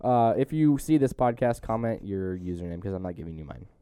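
A man's voice: on the low side, lively intonation, a little fast, warm tone, clean tone, no echo, clean studio sound. A young man hosting a podcast in casual, joking chat. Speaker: podcast host.

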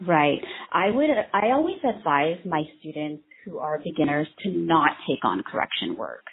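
A woman's voice: deep voice, very animated, normal speed, creaky, a little hiss or hum. A woman in her thirties from Vietnam, a brow artist and trainer, answering interview questions in an earnest way with many ums and restarts. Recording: tinny phone-like line.

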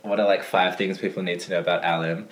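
A man co-hosting a casual podcast chat, croaky today, recapping with frequent laughs. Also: low-pitched, level tone, fast. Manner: laughs often, recaps with asides. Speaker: podcast co-host.

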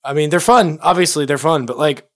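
A man's voice: on the high side, fairly quick. An American man from the Chicago area co-hosting a podcast, explaining his points in casual conversation and often restarting as he goes. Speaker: podcast co-host.

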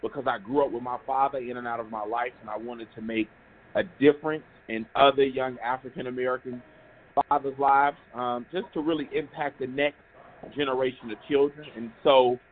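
A man's voice: medium pitch, steady tone, fairly quick. A man from Chicago introduces himself politely and upbeat over a phone line. His voice sounds thin and narrow, with faint noise underneath. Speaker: nonprofit founder guest. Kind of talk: self-introduction on a call.

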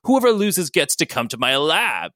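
A woman's voice: deep voice, very animated, moderate pace, fairly bright tone, no room sound, studio quality, pitched above the performer's own voice. A woman voicing a theatrical, meddling male villain scientist, pompous and gleeful, in a comic story reading. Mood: gleeful scheming. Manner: pompous, relishing every word.

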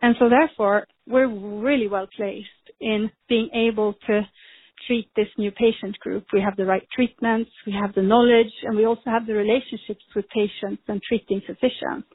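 A woman, speaking as a guest in a podcast interview, measured with frequent ums and restarts. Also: medium pitch, steady tone, slightly rough, dry recording. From Sweden. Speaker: pharma executive guest. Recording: tinny phone-like line.

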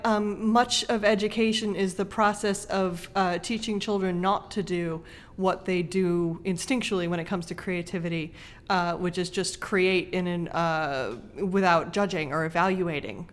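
A woman in conversation, explaining her ideas thoughtfully like a teacher, building points in short clauses. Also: medium pitch. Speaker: podcast guest host, creative writing teacher.

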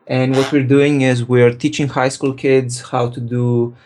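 A man from Slovenia, a programmer, answering interview questions in a relaxed way, thinking aloud and correcting himself. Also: medium pitch, measured pace. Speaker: programmer and guest.

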